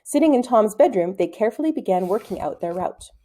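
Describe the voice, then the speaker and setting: Mellow woman reading a storybook aloud. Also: mid-range voice, lively intonation, fast. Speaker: read-aloud narrator. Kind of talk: expressive storybook read-aloud.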